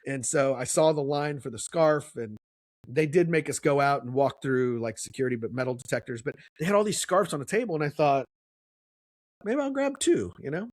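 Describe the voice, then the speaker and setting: A man's voice: fairly high pitch, fairly quick, darkish tone, dry recording, studio quality. A man, co-hosting a podcast and telling a long, rambling story in casual chat. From Kansas City, USA. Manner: rambling storyteller, acts out quotes. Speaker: podcast co-host.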